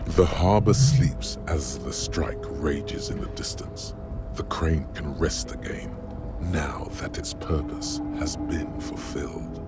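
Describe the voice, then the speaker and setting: An actor playing a brooding, solemn narrator of a detective game, reading measured literary descriptions in a gravelly voice. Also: male voice, over loud music. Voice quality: gravelly, very deep. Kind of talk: scripted second-person game narration.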